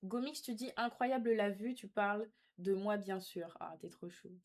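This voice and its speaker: A woman's voice: mid-range voice, normal speed, darkish tone. Young woman, sassy live streamer. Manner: teasing, sassy, slangy. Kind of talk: casual live chat, reading and answering viewer comments.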